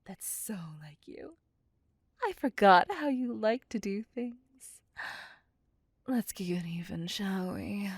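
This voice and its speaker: A woman plays a possessive female vampire in an ASMR roleplay. She speaks slowly and breathily, close and dry, slipping between smug commands and teasing whispers. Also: fairly low pitch, darkish tone, slightly rough, no room sound, heavily acted. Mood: teasing, sultry. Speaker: voice actor.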